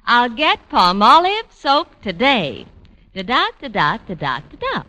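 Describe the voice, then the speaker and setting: A woman's voice: a little high, huge pitch swings, a little slow, bright, forceful tone. A woman reciting a rhyme for a classic radio commercial. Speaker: commercial jingle reader.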